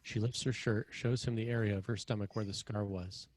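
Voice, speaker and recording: A man's voice: fairly low pitch, fairly even intonation, brisk, a little mellow, no room sound. A man narrating screenplay scene headings and action in a scripted read.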